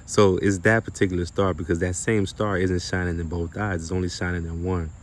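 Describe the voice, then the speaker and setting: A man's voice: low-pitched, level tone, brisk, slight background noise. A man giving an informal, slangy spiritual monologue in an emphatic, repetitive manner, often posing rhetorical questions. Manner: casual and slangy with some swearing. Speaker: spiritual content creator and speaker.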